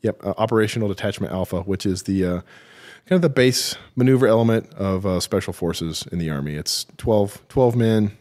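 A man being interviewed as a military veteran, telling his story haltingly with self-deprecating, dry humour. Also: lowish voice, darkish tone. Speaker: podcast guest, military veteran.

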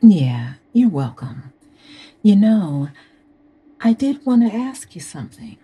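An actress playing a flirtatious, scheming married woman of wealth in an audio drama, with a husky, breathy voice and coaxing manner. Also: medium pitch, very animated, slow pace, warm tone, exaggerated voice. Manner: seductive, coaxing. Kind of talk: modern audio drama acting.

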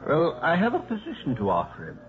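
An actor playing a mild, polite and quietly shrewd elderly priest in a 1950s radio drama. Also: male voice, a little high, huge pitch swings, quick pace. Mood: polite, hesitant. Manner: polite, gentle, slyly understated. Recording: thin, phone-like 1950s radio recording, noise.

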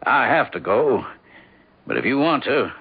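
A man's voice: medium pitch, sing-song, measured pace, slightly rough, heavily acted. An actor playing an urgent man breaking grim news in a radio drama. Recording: thin phone-like old radio sound.

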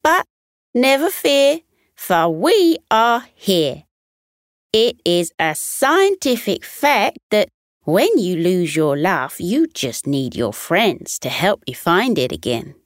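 Woman as a bold, slow-spoken boy wallaby. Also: medium pitch, sing-song, dry recording, clean studio sound. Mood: reassuring, bold. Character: bold, stubborn, bouncy male wallaby friend.

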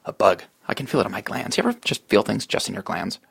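A man's voice: fairly low pitch, very animated, fast talker, vocal fry, a bit bright, slightly rough, full character voice. A man playing a pompous, self-important disgraced psychotherapist in a sitcom script table read, given to grandiose speeches and muttered asides. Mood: queasy, smug.

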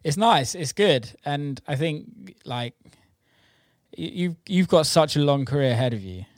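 A man's voice: fairly high pitch, animated, measured pace, no room sound, studio quality. A man hosting a casual podcast chat, talking in a matey, informal way with plenty of swearing. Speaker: interviewer and host.

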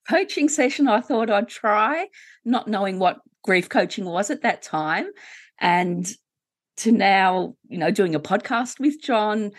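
A woman with an Australian accent, a grief coach and guest on a podcast, giving brief, friendly replies. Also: on the high side. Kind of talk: casual podcast interview.

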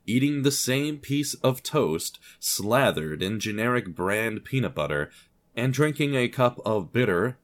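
A man narrating scripted audio fiction in a dramatic storytelling style. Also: fairly low pitch.

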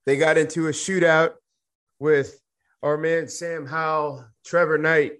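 A man's voice: a little high, steady tone, a little slow, a bit bright. A man hosting a sports podcast, reading listener questions and a sponsor ad in an upbeat, casual way. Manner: upbeat, moves the show along, reads sponsor ad. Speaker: podcast host. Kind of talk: casual sports talk and ad read.

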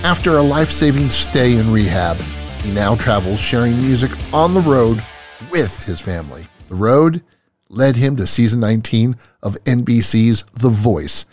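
A man hosting an interview, reading scripted questions with lively, announcer-like delivery. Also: medium pitch, moderate pace. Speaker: interview host. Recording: dry sound with faint music behind.

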